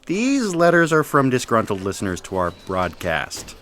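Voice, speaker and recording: An actor plays a nervous male clerk in a radio play. His mid-range voice moves up and down in an animated way. He is deferential and hesitant, like someone reporting to a stern boss. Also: medium pitch, moderate pace, a little hiss or hum. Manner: hesitant, deferential, throat-clearing. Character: nervous, deferential male underling delivering bad news. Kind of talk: audio theatre acting.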